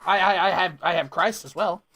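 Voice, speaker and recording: A man's voice: sits high, brisk, bright, forceful tone, no room sound. A man chatting casually and playfully as a call host, often interjecting and repeating his phrases.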